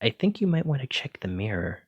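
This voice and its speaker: A man's voice: medium pitch, sing-song, a little fast, breathy edge, no echo, highs cut off. A man voicing a clumsy, kindly wizard in an ASMR roleplay, courteous and apologetic, stammering when flustered. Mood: alarmed. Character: clumsy, kindly wizard bookseller teaching magic. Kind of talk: ASMR roleplay addressed to listener.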